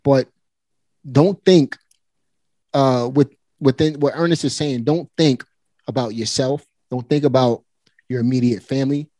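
A forty-year-old man talks in a relaxed, motivating way, speaking right to his listeners. His voice sits at an ordinary middle pitch and is recorded dry and close with no room sound. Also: measured pace, long pauses, dry recording. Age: 40s. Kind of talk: casual conversation.

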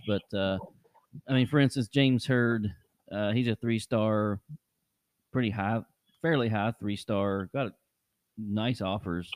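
A man's voice: lowish voice, darkish tone, no room sound. A man hosting a casual sports podcast, loose and chatty, repeating words for emphasis. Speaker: podcast host.

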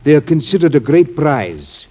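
An actor playing a grave, earnest visitor in an old-time radio drama, formal and polite. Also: male voice, fairly high pitch, sing-song, moderate pace. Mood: earnest pleading. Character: earnest, secretive Spanish gentleman, grave and pleading visitor. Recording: muffled vintage radio recording.

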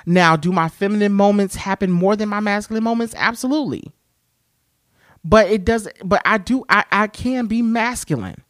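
Man podcast host, high-pitched voice, candid solo talk, dry close sound. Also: normal speed, no echo. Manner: frank and expressive, with frequent "you know" fillers.

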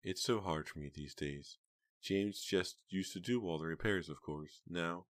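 A man voicing a gentle older aunt in an audiobook story, softly spoken, kindly and a little sad. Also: low-pitched, expressive, moderate pace, no room sound. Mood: gentle, wistful.

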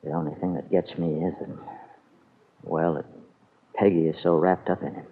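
A man's voice: low voice, level tone, normal speed, slight background noise. An actor playing a blunt, protective, skeptical man in a vintage radio soap, speaking with exasperated emphasis. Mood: bitter disapproval. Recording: tinny phone-like old radio recording.

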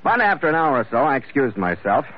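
An actor playing a tough, dry, plain-spoken sea captain who also narrates, in old-time radio drama style. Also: male voice, medium pitch, sing-song, fast, lightly acted. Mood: matter-of-fact narration. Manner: wry, terse, plain-spoken. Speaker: lead and narrator. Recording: slightly dull old radio recording.

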